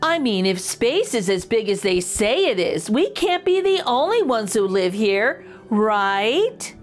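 A middle-aged woman hosting a children's storytime, reading a picture book aloud with expressive, exaggerated intonation. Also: on the high side, slow, faint music behind. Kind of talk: animated read-aloud for young children.

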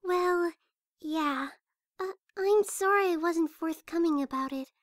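A timid young mare played by a woman with a very high, soft voice. She is gentle and nervous, stammering into her words in a hobby audio drama. The recording is dry and close. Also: slow pace, no echo. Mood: nervous, apologetic. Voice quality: soft, quiet. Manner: hesitant, stammering. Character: shy, gentle, nervous young female pony. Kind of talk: amateur audio drama acting.

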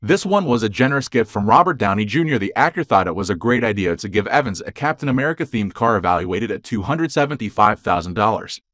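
Male narrator, scripted voice-over, lively pitch, dry close sound. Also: fast, few pauses, no echo. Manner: even read-aloud delivery with odd phrasing.

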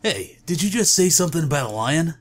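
A man's voice: on the high side, very animated, a little fast. A man playing a casual, hesitant young sidekick in a comic book dub, slangy and questioning. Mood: curious.

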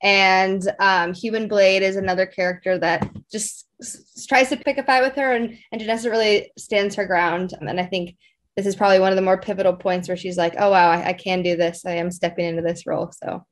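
Female interview guest, mid-pitched, chatty and effusive, informal phrasing full of fillers. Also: fairly even intonation, brisk. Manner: effusive, peppers speech with like and you know. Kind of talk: casual interview chat.

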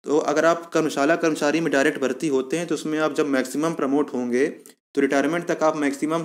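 Male explainer host, slightly high voice, informal step-by-step delivery with repeated points. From India. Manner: repetitive, step-by-step explaining, addressing viewers as friends. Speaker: YouTube channel host explaining a police job.

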